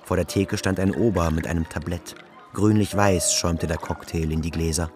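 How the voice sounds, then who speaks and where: A man narrating a literary radio drama in a measured, descriptive manner. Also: low-pitched, fairly even intonation. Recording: prominent music behind narration.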